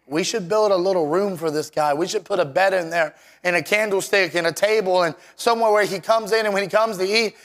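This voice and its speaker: Scratchy higher-pitched male preacher. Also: fairly bright tone. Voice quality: slightly scratchy, worn voice. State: voice worn out and scratchy. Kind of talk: sermon with scripture reading and prayer.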